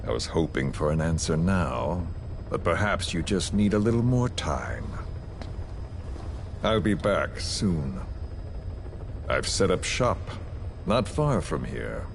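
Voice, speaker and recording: An actor playing a calm, scheming villain who speaks in a slow, measured and quietly threatening way. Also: male voice, low voice, gravelly, full character voice. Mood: menacing. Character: cold, menacing male villain, calm and scheming. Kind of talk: game cutscene dialogue. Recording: noisy game audio recording.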